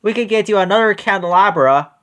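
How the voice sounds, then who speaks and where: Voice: mid-range voice, fairly quick, a bit bright, voice pushed up, close to an everyday voice. A storybook reader voicing a nervous, eager little goat. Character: Bumsy, jumpy, nervous young male storybook goat.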